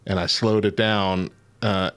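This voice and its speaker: A man with a slightly low voice hosting a live-streamed chat show. He talks casually and breaks into laughter often. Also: fairly even intonation, a little slow, a bit bright, smooth.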